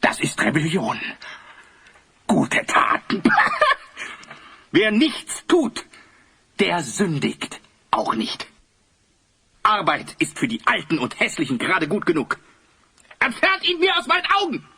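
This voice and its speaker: Casting: haughty idle tyrant, husky, drawn-out delivery, scornful, for audio drama. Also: male voice, high-pitched, wildly swooping pitch, pushed and bright, heavily acted. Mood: scornful, commanding. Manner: haughty, theatrical. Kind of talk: German audio drama acting.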